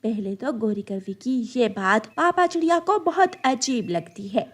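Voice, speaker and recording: A woman narrating a scripted children's story in an expressive storytelling style. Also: fairly high pitch, measured pace.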